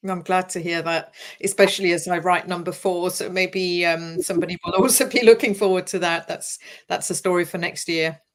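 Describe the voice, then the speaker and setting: A middle-aged woman from Denmark speaks English in a low, fairly monotone voice. She is a crime author chatting thoughtfully over a video call, with frequent hesitations. Her tone stays steady and level throughout. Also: fairly quick, hardly any pauses. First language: Danish. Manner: thoughtful, hesitant with many fillers. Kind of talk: casual interview talk.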